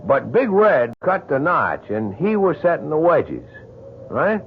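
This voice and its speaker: An actor playing an earnest, plain-spoken young lumberjack with a rural American accent in an old-time radio drama. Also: male voice, fairly high pitch, big pitch swings, measured pace. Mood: suspicious, determined. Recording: tinny, hissy old radio recording.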